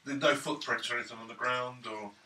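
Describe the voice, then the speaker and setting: A man's voice: mid-range voice, steady tone, fast talker, sharp tone. A man talking casually as a player in a tabletop role-playing game. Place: indoors around a gaming table. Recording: noisy echoing room, far from mic.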